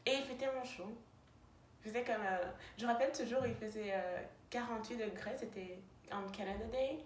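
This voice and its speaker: Young woman, non-native french speaker, chatty roommate, highish voice, echoey room with faint hiss. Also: fairly quick. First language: neither French nor English. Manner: chatty, many fillers and restarts. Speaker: roommate answering questions. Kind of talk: casual chat.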